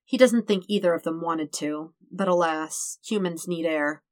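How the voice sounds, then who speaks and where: A woman's voice: on the low side, moderate pace, a little mellow, no echo, clean studio sound. A woman reading a fictional story aloud as a scripted narration. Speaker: podfic reader.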